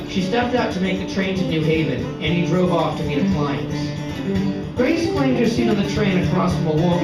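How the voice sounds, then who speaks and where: A man reads fiction aloud at a live event in a slightly high voice, now and then tripping over a word and repeating it. He sounds distant in a very reverberant room, with loud guitar music behind him. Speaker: author reading his novel aloud. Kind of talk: live literary reading of fiction. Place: art gallery, live event. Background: loud plucked guitar music.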